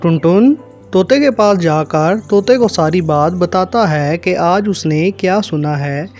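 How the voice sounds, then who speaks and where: A man's voice: sits high, a little slow, a little mellow, faint music behind. A man reading scripted narration for a children's cartoon story.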